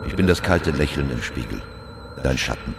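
An actor playing a sinister, mocking underworld host in a radio play, speaking gravelly, deliberate lines with cold menace. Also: male voice, very deep voice, lively intonation, heavy background noise, full character voice. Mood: menacing, taunting. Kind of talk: modern radio play acting, partly rhythmic recitation. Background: music.

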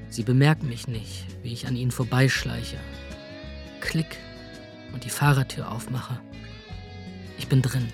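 A man narrating in the first person in a radio drama, rough and weary, with coarse language. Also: medium pitch. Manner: rough, weary inner monologue with coarse words. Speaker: narrator and lead character.

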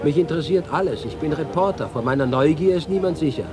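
An actor playing an ageing, nostalgic and proud reporter home from exile, speaking expressively in a literary radio-feature manner. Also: male voice, on the high side, lively intonation, fast, lightly acted. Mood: proud, assured. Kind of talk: radio feature dramatic monologue.

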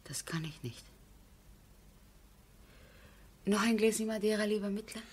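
An actress playing a composed, gentle but worried aristocratic aunt in a 1970s German radio play, restrained and genteel. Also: female voice, medium pitch, quick pace, close to an everyday voice.

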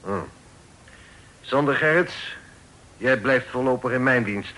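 An imperious pirate captain in a radio drama. His mid-pitched voice is sharp and forceful, and he speaks curtly and formally, as one used to being obeyed. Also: slow, rough recording. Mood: commanding. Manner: curt, authoritative, formal. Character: commanding, self-assured pirate chief, a father. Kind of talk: old radio play acting.

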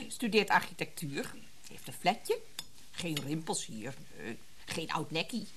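An actress playing a jealous, scornful middle-aged wife in a radio play, with a cutting, sarcastic manner. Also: female voice, deep voice, very animated, slow pace, noisy background, exaggerated voice. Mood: bitter, hurt. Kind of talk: naturalistic Dutch radio play acting.

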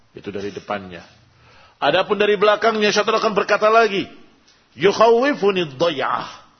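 Higher-voiced Indonesian man preaching. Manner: didactic, reciting quotations then translating and repeating in short measured phrases. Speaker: Islamic preacher. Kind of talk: sermon explaining an Arabic religious text line by line. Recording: dull, lo-fi sound.

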